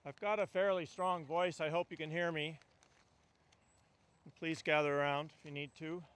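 A man's voice: on the high side, fairly even intonation, normal speed, a bit bright, clean tone. An older man, a union delegate, giving a funeral eulogy outdoors at a stand mic, with a wry storytelling delivery. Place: outdoors at a funeral gathering among trees.

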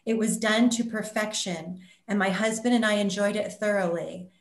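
A woman's voice: mid-range voice, moderate pace. Woman speaking in a roomy video call. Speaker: community theater representative on panel. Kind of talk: panel talk, reading out comments. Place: indoors in a room, on a video call. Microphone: computer mic on video call.

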